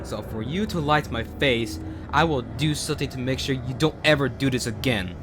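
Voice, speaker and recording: A man voicing a furious, tyrannical mad scientist father who yells and threatens. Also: mid-range voice, brisk, over music, natural voice. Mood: menacing. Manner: shouting, menacing.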